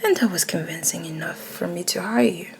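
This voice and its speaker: Woman as breathy, low, seductive male CEO. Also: slight background noise. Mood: smug, coaxing. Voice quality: breathy, often whispered. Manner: seductive, slow, smug. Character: dominant, flirty, possessive male CEO in love. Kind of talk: ASMR romance roleplay.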